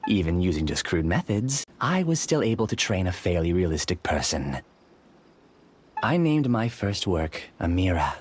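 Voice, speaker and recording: An actor playing a polite, calculating, obsessed scholar in a video game, with a courteous, theatrical delivery. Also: male voice, deep voice, sing-song, normal speed, slight background noise. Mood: smug. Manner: courteous, theatrical, smooth-talking.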